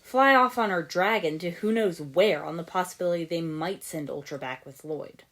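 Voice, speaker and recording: A woman's voice: lowish voice, normal speed, fairly bright tone, close to an everyday voice. A woman voicing a blunt, suspicious and pessimistic teenage male ninja in an audiobook story. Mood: pessimistic, irritated. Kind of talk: fan fiction audiobook character voice.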